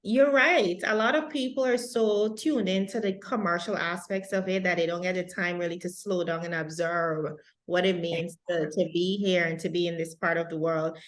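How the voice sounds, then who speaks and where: A woman's voice: on the low side, steady tone, moderate pace. Woman, talk show host, effusive, rambling. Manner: effusive, warm praise with rambling restarts. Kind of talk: interview.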